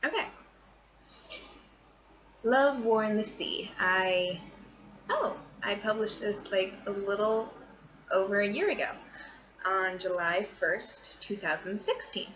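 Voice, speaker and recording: A woman's voice: medium pitch, takes it slowly, long pauses, a bit bright. A woman vlogging casually from her bedroom, rambling in a scattered, informal and self-deprecating way. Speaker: vlogger and amateur short story writer. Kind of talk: casual rambling vlog chat. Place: small bedroom at home, indoors. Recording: muffled, noisy room with fan running. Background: running fan noise.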